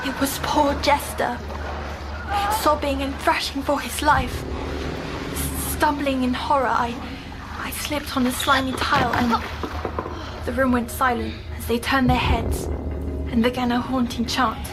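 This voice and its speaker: A timid young girl with a high voice, haunted by nightmares, in an audio drama. She tells her story haltingly, breaking off and starting again, with music clearly behind her. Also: little pitch movement, poor audio. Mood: frightened. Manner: hesitant, halting, breaking off mid-phrase.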